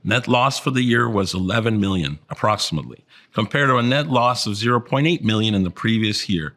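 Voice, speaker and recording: A man with a fairly low voice reads a prepared financial report. His delivery is formal and measured, that of a senior finance executive addressing investors. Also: a bit bright. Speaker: chief financial officer presenting results. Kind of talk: scripted financial presentation.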